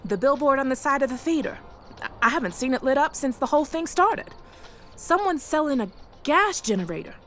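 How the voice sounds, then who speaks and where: Skeptical, animated friend. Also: female voice, high-pitched, a little fast, soft background music. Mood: skeptical, impatient. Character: Credence, skeptical, sardonic friend on a stakeout. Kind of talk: audio drama dialogue.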